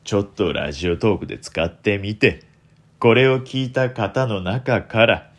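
Young man in a put-on velvety bass. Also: fairly bright tone. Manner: deliberately put-on deep velvety voice; self-mocking and playful. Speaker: radio talk host. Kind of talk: solo app radio talk monologue.

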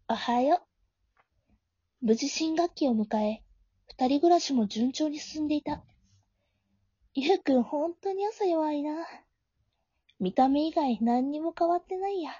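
Woman acting a high, sweet, naive young woman. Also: warm tone, no room sound. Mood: cheerful, caring, then fond narration. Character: gentle, naive young woman, flustered older childhood friend. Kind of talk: amateur romantic audio drama acting. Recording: dull, lo-fi home recording.

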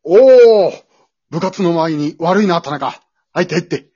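A pushy, fervent male teacher acted out by an amateur performer in a comic radio play. The voice is husky and leaps dramatically from murmurs to big cries. The sound is slightly dull and lo-fi. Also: medium pitch, lively intonation, measured pace. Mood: awkward, hesitant. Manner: melodramatic, impassioned, swinging from hushed to shouting. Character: passionate, pushy male high school teacher obsessed with visual-kei.

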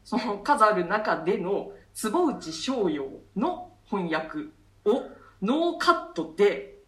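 A woman's voice: mid-range voice, very animated, slow pace, fairly bright tone. A woman, an actress co-hosting a radio show, explaining casually among colleagues. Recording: echoey room, distant mic.

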